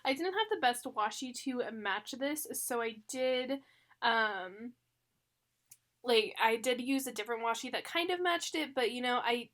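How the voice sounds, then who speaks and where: A woman's voice: fairly high pitch, expressive, a little slow, fairly bright tone. A young woman chatting casually as a planner vlogger. She sounds congested from the flu, with a slight cough, and talks with lots of fillers and little laughs. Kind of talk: casual chat.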